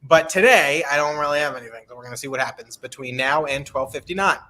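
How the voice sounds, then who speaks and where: A man hosts a political talk podcast in a bright, slightly raised voice. He rambles and teases his co-hosts as he moves through topics. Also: fairly high pitch, quick pace. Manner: joking, rambling intros with teasing. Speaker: podcast host. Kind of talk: casual political podcast chat.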